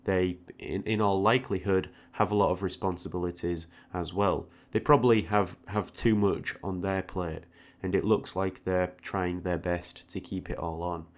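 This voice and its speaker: A man giving an informal, reflective audio log, often repeating words hesitantly as he goes. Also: deep voice. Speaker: art tutorial creator, channel host.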